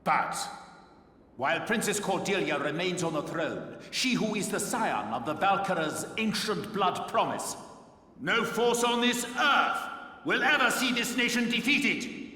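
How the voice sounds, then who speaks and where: An actor playing a pompous regent in a video game, orating in a grandiose, theatrical way. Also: male voice, high voice, lively intonation, a little slow, bright, forceful tone, heavily acted. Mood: pompous, fervent. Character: pompous male royal regent making grand speeches. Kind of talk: video game cutscene acting. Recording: echoey hall with faint music.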